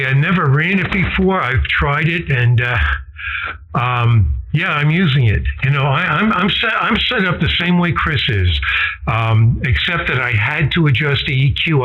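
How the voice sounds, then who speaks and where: Male radio hobbyist, mid-pitched, halting casual explainer, heard through a band-limited ham transmission. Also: narrow, tinny audio. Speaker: amateur radio operator. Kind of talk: casual technical chat on air.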